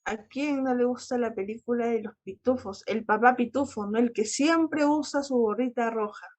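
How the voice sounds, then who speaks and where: A woman co-host chatting casually, somewhat halting and often checking that others agree. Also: on the high side, a little mellow. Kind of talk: casual chat.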